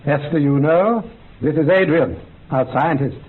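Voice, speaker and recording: A narrow, faintly noisy radio sound frames an actor as an old male doctor in his eighties. His voice sits fairly high, and he speaks with formal, genial grandeur. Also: measured pace, natural voice. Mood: courteous, grandiose. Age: 80s as played. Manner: formal, orotund, courteous. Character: genial, grandiose elderly doctor leading psychical researchers. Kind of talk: radio play acting. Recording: muffled, band-limited, faintly noisy radio recording.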